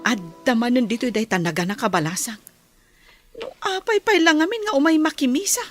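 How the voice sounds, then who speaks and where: A woman's voice: fairly high pitch, lively intonation, fairly quick, faint music behind, natural voice. A woman acting a spiteful, gossiping married village woman in an Ilocano radio drama, with a snide, exaggerated delivery. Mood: irritated, sneering.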